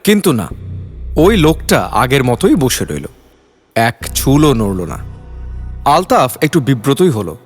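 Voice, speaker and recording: A man's voice: medium pitch, very animated, normal speed, long pauses, faint music behind. A man narrating a scripted detective story in a dramatic, suspenseful storytelling style.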